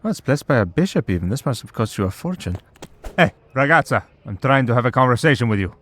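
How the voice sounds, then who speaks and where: A man's voice: mid-range voice, lively intonation, fast talker, a bit bright. A man voicing a centuries-old vampire who hunts monsters, wry, patient and dryly exasperated, in a scripted audio roleplay. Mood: exasperated, pleading. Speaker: voice actor.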